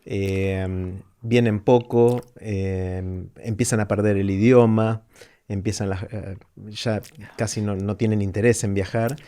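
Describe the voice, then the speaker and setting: Low-voiced man hosting interview. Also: fairly even intonation, takes it slowly, mellow, clean tone. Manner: curious, attentive, asks open questions. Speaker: interview host. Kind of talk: conversational interview.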